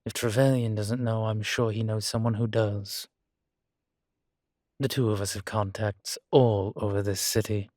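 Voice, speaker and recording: Man acting as ancient male vampire, low even voice, halting and reflective, guilt-ridden but protective, wry, intimate audio roleplay. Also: no room sound, clean studio sound, natural voice. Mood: resolved, courteous. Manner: halting, reflective, with sighs and soft chuckles.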